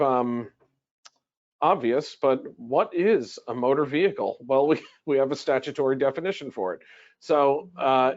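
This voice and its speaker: A man's voice: mid-range voice, a little mellow, lo-fi sound. A man presenting a legal webinar in a conversational, explanatory way, posing questions and then answering them. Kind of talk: webinar lecture, explaining from notes.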